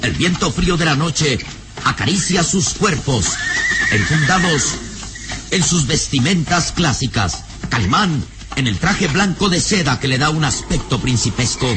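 A man's voice: on the high side, expressive, unhurried. A man narrating a radio serial in a dramatic, scripted storytelling style. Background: music.

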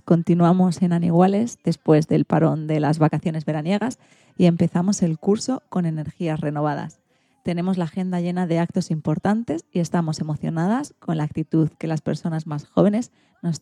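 A woman's voice: fairly low pitch, moderate pace, dark tone, no room sound. A woman with a Spanish accent from Spain, hosting a radio program in a scripted presenting style.

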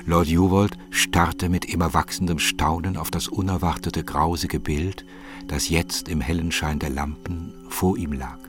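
Low-pitched male audiobook narrator over music. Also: steady tone, a little slow. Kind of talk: scripted literary narration.

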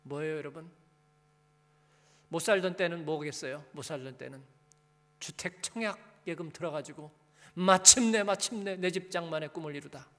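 A man with a high-pitched voice preaches in a large hall with long reverb. He speaks as a pastor in a conversational manner, putting rhetorical questions to the congregation and prompting them with short tag questions. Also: moderate pace, bright, forceful tone.

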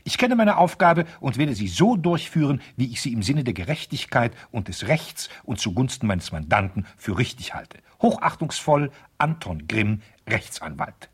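A pedantic, self-important lawyer in a vintage radio play. His voice is very husky and gravel-edged, and it swings emphatically up and down through formal, officious legal phrases. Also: medium pitch, very animated, moderate pace, hardly any pauses. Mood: indignant. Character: pompous, pedantic male divorce lawyer, stiffly indignant. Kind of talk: 1970s German radio play, letters read aloud.

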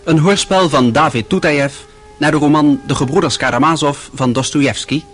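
A man's voice: on the high side, normal speed, a bit bright, soft background music. A man formally announcing a radio drama in a scripted style.

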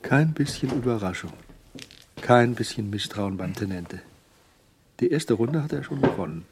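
A man's voice: medium pitch, lively intonation, normal speed, background noise, lightly acted. A man acting as the dry, observant narrator of a German crime radio drama. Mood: dry, ironic.

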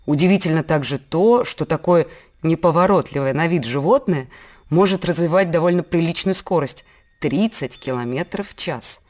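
A woman playing a patient mother, giving measured, scripted educational storytelling to her child. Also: sits low, a little mellow. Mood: patient, explanatory.

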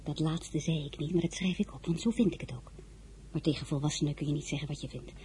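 A woman's voice: low-pitched, fairly even intonation, fast talker, warm tone, a little hiss or hum, natural voice. An actress playing a headstrong, easily indignant teenage girl who confides her story like a diary in a radio drama. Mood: confiding, piqued. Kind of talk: radio drama narration and dialogue.

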